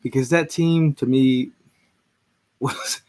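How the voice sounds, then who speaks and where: Chatty Philly sports podcast host. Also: male voice, mid-range voice, fairly even intonation, takes it slowly, darkish tone. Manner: rambling, frequent restarts and fillers. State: voice recovering from being lost. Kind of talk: casual sports chat.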